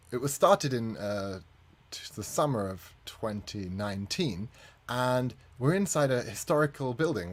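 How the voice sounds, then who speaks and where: A man, a native English speaker, presenting a conference talk as a community manager, friendly with frequent fillers. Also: lowish voice.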